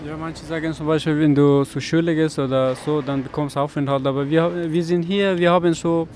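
A man from Afghanistan speaking haltingly at a street protest, often pausing to search for words. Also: a little high, steady tone, a little mellow, slight background noise. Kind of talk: street interview. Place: outdoors on a city street.

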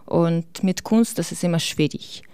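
Slow, hesitant woman from Ukraine. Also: lowish voice, dark tone, clean tone, no echo. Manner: halting non-native German with many fillers and laughs. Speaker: guest photographer. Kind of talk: radio interview.

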